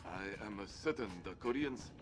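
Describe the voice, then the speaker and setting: A man playing a formal Japanese admiral in a video game, speaking deliberately and a little haltingly. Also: lowish voice, animated, over music. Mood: guarded, formal. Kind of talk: video game cutscene acting.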